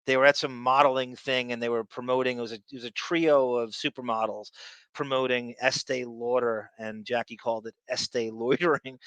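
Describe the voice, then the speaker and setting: A man's voice: medium pitch, fairly even intonation, moderate pace, a bit bright, no room sound. A man hosting a podcast with casual, opinionated commentary, blunt and profane in an emphatic way. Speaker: podcast host.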